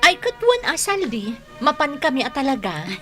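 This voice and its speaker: An actress playing a bubbly, excitable young female friend in a radio drama, with an Ilocano Filipino accent. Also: high-pitched, moderate pace, soft background music, heavily acted. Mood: cheerful, excited.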